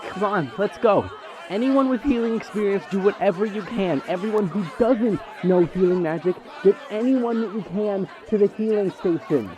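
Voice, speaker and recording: A man's voice: high-pitched, expressive, moderate pace. A man playing an urgent, commanding organiser of healers and rescuers in an audio roleplay drama. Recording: busy crowd noise behind the voice.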